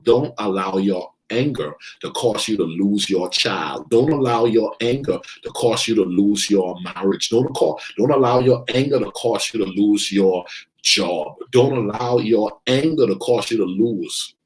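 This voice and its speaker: A man's voice: lowish voice, measured pace, low-quality recording. A man from the Bahamas giving an emphatic motivational talk as a coach, repeating his key phrases for weight. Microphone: online video stream.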